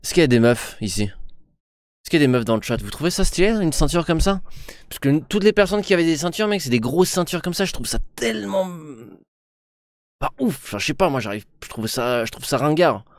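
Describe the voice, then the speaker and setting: Young male streamer, rambling slangy chatter, blunt opinions, slightly high voice, very dry close-mic sound with no room. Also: animated, brisk, dry recording. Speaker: streamer reacting to a TV show. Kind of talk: casual slangy reaction commentary.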